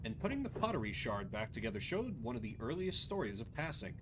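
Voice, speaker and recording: A man's voice: medium pitch, very animated, quick pace, noisy background. A man giving casual commentary as he streams his playthrough of a game.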